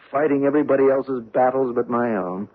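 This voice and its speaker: An actor playing a gentle, hesitant American husband in a radio mystery drama, halting and tactful in his speech. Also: mid-range voice, close to an everyday voice. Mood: affectionate, apologetic. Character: gentle, hesitant American husband, mildly reproachful but affectionate. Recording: muffled narrow-band old radio broadcast.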